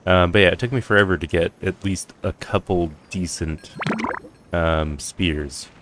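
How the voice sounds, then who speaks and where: A man's voice: low voice, unhurried, fairly bright tone, slight background noise. A man giving casual let's-play commentary as a streamer, offhand and rambling to himself. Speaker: gameplay streamer.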